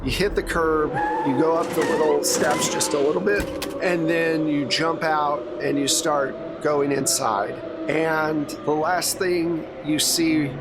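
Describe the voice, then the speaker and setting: A man's voice: fairly high pitch, steady tone, slow pace, very noisy. A man running a horror tabletop game as game master, describing scenes in a casual, unscripted way.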